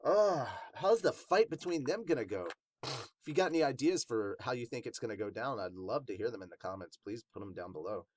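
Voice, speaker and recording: A man's voice: medium pitch, expressive, fairly quick, a little hiss or hum. A man hosting a podcast, giving casual, rambling, slangy reaction commentary. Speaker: host of a manga review podcast.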